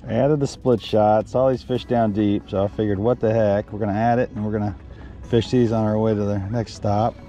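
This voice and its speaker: A man's voice: on the low side, a little fast, muffled recording. Man chatting on a fishing boat. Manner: relaxed, rambling, lots of ums and restarts. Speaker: fishing guide. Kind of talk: casual fishing vlog chat. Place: outdoors on a boat on a lake. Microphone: headset mic.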